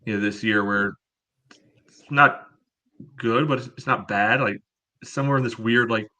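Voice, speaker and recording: A man co-hosting a podcast, chatting casually about sport with a hedging, measured way of making points. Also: medium pitch, sharp tone. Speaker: podcast co-host.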